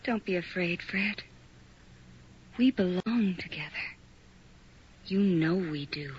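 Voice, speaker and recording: An actress playing the ghost of a dead young flapper woman who softly coaxes her visitor in a radio drama. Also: fairly low pitch, slow pace, breathy edge, full character voice. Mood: teasing. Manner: soft, coaxing, intimate. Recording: dull old radio broadcast.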